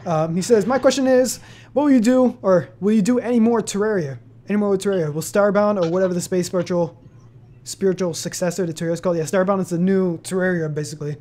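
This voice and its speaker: A man's voice: sits high, fast talker, dark tone. A seventeen-year-old young man hosting a casual question-and-answer chat on his channel, rambling with many fillers and laughs. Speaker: channel host.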